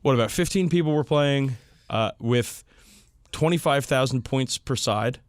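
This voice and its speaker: A man's voice: mid-range voice, unhurried, no echo. Male podcast co-host, enthusiastic and sweary casual hobby talk, dry close recording. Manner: enthusiastic, profane. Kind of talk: casual hobby chat about tabletop wargaming.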